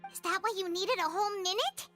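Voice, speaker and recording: A woman playing a tiny childlike fairy companion in an anime game, squeaky, blunt and indignant. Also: a little fast, bright, forceful tone. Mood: wary. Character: tiny childlike girl fairy companion, indignant, speaks of herself in third person. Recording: game music behind the voice.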